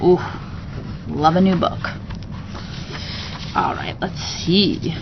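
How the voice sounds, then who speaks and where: A woman's voice: on the low side, very slow, lo-fi sound, background noise. A woman reading a children's story aloud expressively, like a teacher to students, with casual asides.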